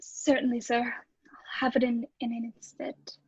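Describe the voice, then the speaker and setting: Woman acting a timid, high-voiced stammering landlady. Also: completely flat delivery, unhurried, darkish tone, breathy edge, muffled recording. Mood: flustered, meek. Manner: hesitant, stammering, deferential. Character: timid, eager-to-please married landlady in an old British lodging house. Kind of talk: old-time radio play acting.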